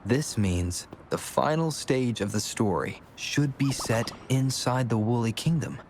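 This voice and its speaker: Calm young male adventurer voiced by a man, faint rumble. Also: unhurried, natural voice. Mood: calm, deducing. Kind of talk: video game character dialogue.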